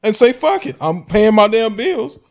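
A man's voice: high voice, very animated, normal speed. A man co-hosting a casual podcast, talking bluntly and cursing freely. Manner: blunt, profane. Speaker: podcast co-host.

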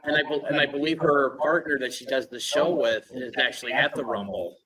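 Eager, rambling man with a bright voice. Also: mid-range voice, brisk, poor audio. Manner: eager, rambling, restarts often. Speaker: podcast co-host. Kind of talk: casual live podcast chat.

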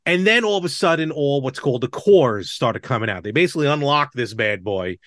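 A man's voice: mid-range voice, lively intonation, brisk, a bit bright, dry recording. Man hosting a casual podcast, dry sound. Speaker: podcast host. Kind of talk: casual podcast chat about retro games.

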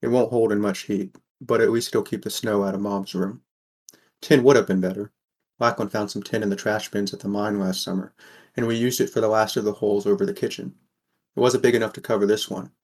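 A man's voice: lowish voice, steady tone, brisk, darkish tone, lightly acted. A man playing a weary, wry sixteen-year-old boy from a poor mining town, narrating a fan audio drama in the first person. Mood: brooding, matter-of-fact.

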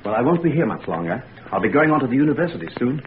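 An actor playing a young male student who is smooth and manipulative, speaking in a coaxing, persuasive way. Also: mid-range voice, very animated, racing, lightly acted. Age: young adult. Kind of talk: 1940s radio acting. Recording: tinny old radio broadcast, faint hiss.

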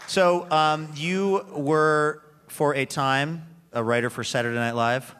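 A man's voice: on the high side, steady tone, slow pace, a bit bright, clear, resonant tone. A man hosting a radio show, interviewing conversationally on a live stage. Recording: live theater with audience behind. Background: audience.

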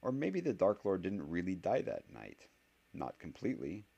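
A man voicing a clever, curious young boy wizard who talks quickly and analytically. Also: low-pitched, wildly swooping pitch, creaky, dark tone, breathy edge, highs cut off, heavily acted. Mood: mock-dramatic.

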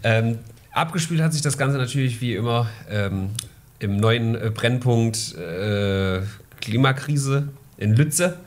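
A man's voice: mid-range voice, slow. A man hosting a casual podcast, chatting with a joking, ironic tone. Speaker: podcast host.

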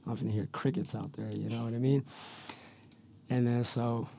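A man with a raspy voice, talking slangy and laid-back as a rapper guest in a casual interview, with lots of fillers. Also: mid-range voice, steady tone, normal speed, mellow. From Central Valley, California.

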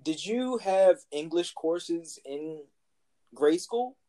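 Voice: medium pitch, animated, slow, dark tone. A podcast host in casual conversation who keeps affirming and encouraging the guest with frequent agreement.

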